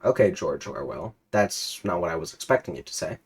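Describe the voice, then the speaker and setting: A wary, bemused fighter read aloud by a man who halts and stammers when thrown off. The tone sits low and dry, a storybook figure more puzzled than angry. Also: sing-song, a little fast, gravelly, slightly rough, heavily acted. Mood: cautious. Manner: stammering, cautious, dryly puzzled. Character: wary, bemused man, a snack-mascot brawler.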